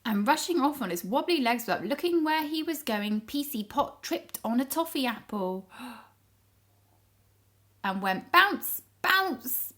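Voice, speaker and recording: A woman reading a story aloud to young children in an expressive storytelling style. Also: high voice. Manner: pauses to ask the child listeners questions.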